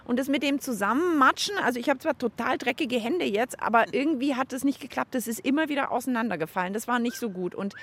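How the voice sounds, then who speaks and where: A woman's voice: on the high side, lively intonation, quick pace, hardly any pauses, fairly bright tone, husky. A woman co-hosting a children's science show, explaining things in a friendly way and talking directly to the kids. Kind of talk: casual presenting for children.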